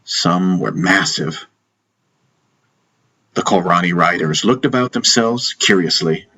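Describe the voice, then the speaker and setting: Low, bright-edged male audiobook narrator. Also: slow, slightly rough. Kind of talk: scripted first-person fantasy story narration. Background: music.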